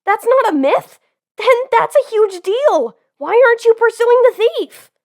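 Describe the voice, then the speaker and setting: An eager young electric cub, voiced by a woman, speaks in a high, earnest voice and pushes impulsively to be part of everything. The fan comic dub keeps the delivery excitable and sincere. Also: a little slow, full character voice. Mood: pleading.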